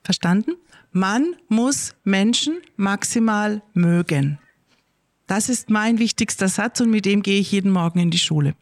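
A middle-aged woman, a school head, calmly answering pupils' questions and explaining things slowly and clearly for children. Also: mid-range voice, dry recording, studio quality. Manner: slow, careful, clear wording for kids.

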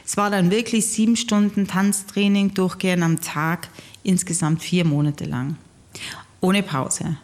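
A woman's voice: on the low side, fairly even intonation, measured pace, darkish tone. Woman, Austrian accent, forties, chatty, anecdotal, radio interview guest. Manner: chatty, anecdotal, many fillers. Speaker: guest singer and TV presenter. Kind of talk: relaxed interview chat.

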